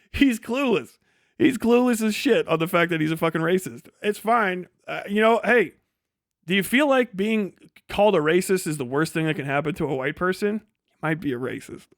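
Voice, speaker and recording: A man with a notably high voice talks off the cuff as a livestream host. He is blunt and sarcastic and swears freely. The sound is dry and close, with very little room in it. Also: dry recording. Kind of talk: casual livestream commentary.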